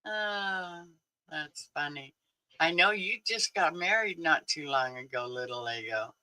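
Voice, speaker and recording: An older man in his sixties chats casually with viewers on a livestream, laughing often. His delivery is slow and unhurried. His tone is very bright and forward. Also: on the high side, smooth. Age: 60s. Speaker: livestream host.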